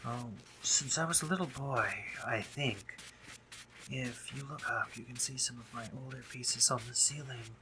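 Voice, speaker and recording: A man playing a doting, teasing gentlemanly spider-monster painter in a romantic roleplay audio drama, with genteel phrasing, hesitant pauses and drops into whispers. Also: mid-range voice, noisy background, lightly acted. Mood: wistful, modest.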